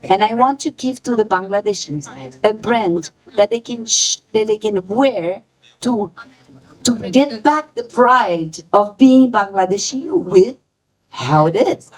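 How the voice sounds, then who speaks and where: A woman speaking on a panel about the fashion brand she founded, halting and emotional, often searching for her words. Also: medium pitch, low-quality recording. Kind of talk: panel talk.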